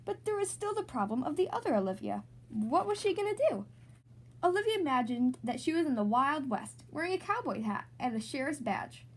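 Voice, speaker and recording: A woman reading a children's storybook aloud as host, in an expressive, animated narrating style. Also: sits high, fairly quick. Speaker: storybook reader, podcast host.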